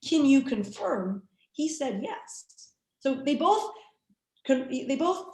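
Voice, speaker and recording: A woman from Canada speaks as a journalist guest, answering candidly and tripping into quick restarts and fillers. Her voice sits a little high, and the room around her is echoey. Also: a little mellow. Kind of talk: interview answers.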